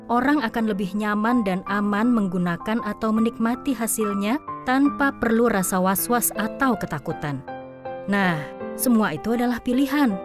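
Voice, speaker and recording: A woman reading scripted storytelling narration for an audio drama. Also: a little high. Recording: loud music behind the voice. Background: music.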